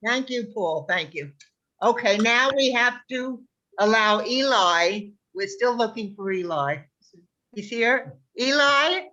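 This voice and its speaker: A woman chairing a residents' meeting, keeping time briskly and politely. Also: on the high side, expressive, sharp tone. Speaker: meeting moderator. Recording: dull lo-fi audio.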